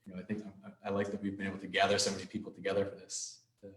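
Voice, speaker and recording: A man's voice: low voice, completely flat delivery, very fast, fairly bright tone. A man moderating a casual live question session, talking in a hesitant, rambling, conversational way over a video call. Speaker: host and moderator. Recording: roomy, echoing video-call audio.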